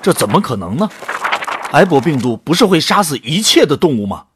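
A man's voice: a little high, big pitch swings, brisk, background noise. A man playing a sceptical commando recruit who asks blunt questions in an audio drama. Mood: astonished.

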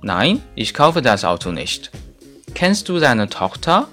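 Lively male Chinese language teacher. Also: mid-range voice, soft background music. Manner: didactic, conversational particles, slow careful example sentences. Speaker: German grammar teacher. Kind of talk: scripted audio lesson with casual asides.

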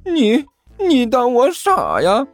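A man's voice: very high voice, huge pitch swings, slow, no echo, faint music behind, voice pushed up, exaggerated voice. A man voicing a cornered but cheeky warrior of justice in a comic story, whiny and defiant, stuttering through his retorts. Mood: whiny protest.

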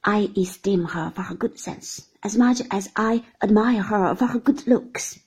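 Woman doing an earnest young man, grave, breathy, storybook. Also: mid-range voice, a little slow, darkish tone.

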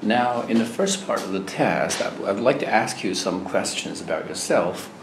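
A man's voice: on the low side, expressive, normal speed. A man acting as examiner, asking mock speaking-test questions in short prompts and backchanneling often. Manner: brief prompts, frequent backchannel mm-hmm. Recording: echoey room with clear noise.

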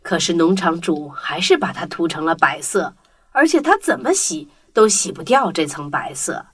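A woman's voice: fairly low pitch, expressive, normal speed, soft background music. A woman narrating a children's storybook tale in a scripted storytelling style.

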